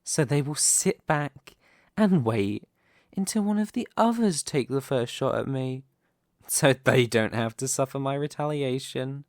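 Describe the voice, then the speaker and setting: A man playing a possessive, scheming young mafia boss in an ASMR roleplay, speaking in a deliberate, condescending, theatrical way. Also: medium pitch, lively intonation, darkish tone, no echo, studio quality, close to an everyday voice. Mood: smug, threatening.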